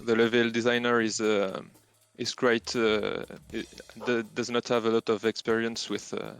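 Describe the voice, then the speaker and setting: A man with a French accent, a native French speaker, answering casual interview questions haltingly as he searches for words. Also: mid-range voice, flat delivery, fairly bright tone, faint music behind. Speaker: interview guest, game programmer.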